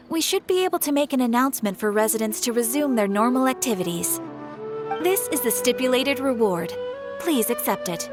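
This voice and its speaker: A woman's voice: a little high, lightly acted. A woman voicing a polite, formal adult female receptionist in a game cutscene.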